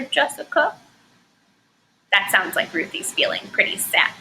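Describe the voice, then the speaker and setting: A woman teaching a recorded lesson to first graders in clear, encouraging, expressive speech. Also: fairly high pitch, a little hiss or hum, poor audio.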